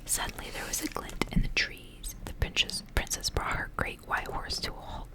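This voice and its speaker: Whispering woman reading a story aloud. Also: normal speed. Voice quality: breathy whisper. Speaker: ASMR host reading aloud.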